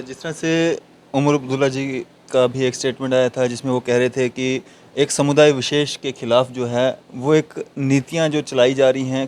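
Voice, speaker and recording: A man's voice: mid-range voice, a little mellow. Male news interviewer, noisy background. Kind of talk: unscripted news interview questioning.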